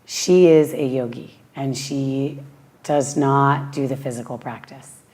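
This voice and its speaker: A woman from Western Massachusetts with a raspy voice, a yoga teacher speaking slowly and thoughtfully in a heartfelt personal interview. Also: a bass voice, fairly even intonation, warm tone. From Western Massachusetts, USA.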